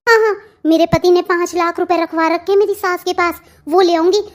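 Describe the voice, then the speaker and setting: A woman's voice: extremely high pitch, fairly even intonation, brisk. A woman voicing a restless, lovesick young married woman in a melodramatic cartoon, pleading and eager. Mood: eager, secretive. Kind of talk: melodramatic family cartoon dialogue.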